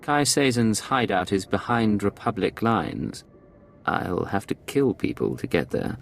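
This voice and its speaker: An actor playing a hard-edged undercover agent in a video game, terse and threatening. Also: male voice, fairly low pitch, big pitch swings, unhurried, vocal fry, a little hiss or hum, full character voice. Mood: grim. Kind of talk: video game cutscene acting.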